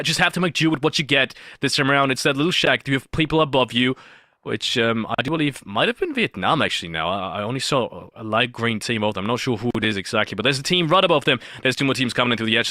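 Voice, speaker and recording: A man commentates on an esports match in a bright, forceful voice with a very dry, close sound. He is emphatic and repeats phrases to drive points home. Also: mid-range voice, dry recording. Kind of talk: live game commentary.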